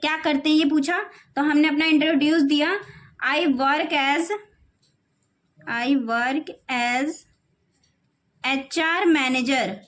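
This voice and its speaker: High-voiced woman teaching English slowly. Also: fairly even intonation, a bit bright. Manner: slows down sharply to model English phrases word by word; repeats phrases and keeps saying "okay, children". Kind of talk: classroom-style lesson in Hindi.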